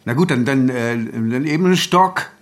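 An actor in a German radio play, playing an anxious, jealous man of 59 who speaks haltingly and with wry self-irony. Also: mid-range voice, expressive, natural voice. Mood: wishful, flustered. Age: 50s. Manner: hesitant, stammering, self-ironic.

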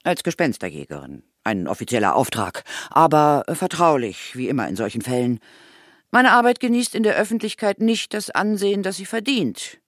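A woman's voice: low-pitched, big pitch swings, moderate pace, no room sound. An actress playing an older woman ghost hunter in a children's radio play, dignified, dry and confiding.

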